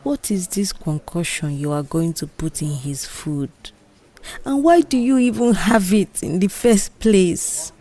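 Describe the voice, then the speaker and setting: A woman's voice: fairly low pitch, animated, unhurried, dark tone. A woman acting an anxious, hesitant Nigerian office worker. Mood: worried, doubtful. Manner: hesitant, faltering, worried. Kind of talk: audio drama character dialogue.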